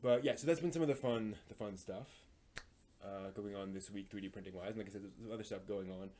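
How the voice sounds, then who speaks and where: A man hosting a casual live stream, explaining hobby projects in a halting, thinking-aloud way. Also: lowish voice, warm tone, a little hiss or hum.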